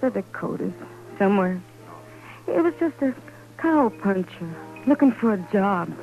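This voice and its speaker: A woman's voice: mid-range voice, sing-song, a little slow, creaky, faint music behind. An actress playing a proud, world-weary American frontier saloon woman in an old radio western, blunt and emotional. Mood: wistful, subdued. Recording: dull vintage radio recording.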